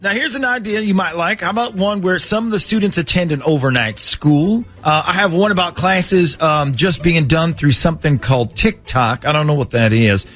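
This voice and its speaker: Man playing a bumbling, higher-voiced school official. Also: normal speed, hardly any pauses, fairly bright tone. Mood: earnest, hopeful. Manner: hesitant, earnest, bureaucratic. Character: bumbling, evasive, oblivious school planning official. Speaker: radio prank caller. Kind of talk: improvised prank phone call.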